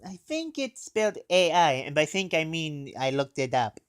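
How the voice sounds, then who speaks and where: Young guy reviewing stuff casually, sarcastic and sweary, really animated up and down voice. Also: on the high side, normal speed, smooth. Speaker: anime reviewer. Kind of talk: casual comedic review with plot recap.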